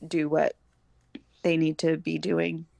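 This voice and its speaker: A woman's voice: sits low, completely flat delivery, takes it slowly, vocal fry, mellow, no echo. An American woman in her thirties chatting informally as a podcast guest, feeling her way through her thoughts as she talks. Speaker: podcast guest, homeschooling mother. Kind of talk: casual conversational chat.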